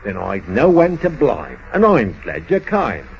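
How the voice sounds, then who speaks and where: A man's voice: fairly low pitch, huge pitch swings, unhurried, vocal fry, full character voice. An actor playing a fussy, old-fashioned little man who was disturbed at his tea. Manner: quaint, chatty, fussy. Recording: dull old radio recording with faint hiss.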